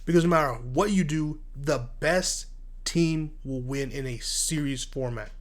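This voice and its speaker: Stuffy-voiced male sports talker, slightly high, blunt, animated. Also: measured pace. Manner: blunt, animated, repeats words when worked up. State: congested from asthma and allergies. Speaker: sports commentary host. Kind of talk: casual solo sports talk.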